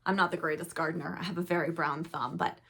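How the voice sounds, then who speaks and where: A middle-aged woman chatting casually about her new books, her voice a little raspy from a cold. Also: low-pitched, steady tone, fast talker. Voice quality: soft-spoken, reads blurbs aloud. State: recovering from a cold, slightly raspy. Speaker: book vlogger. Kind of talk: casual book haul chat.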